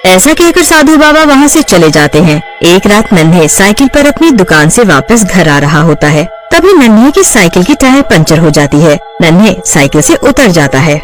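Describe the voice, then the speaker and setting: Lively woman narrating a cartoon story. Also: lowish voice, moderate pace, hardly any pauses, soft background music.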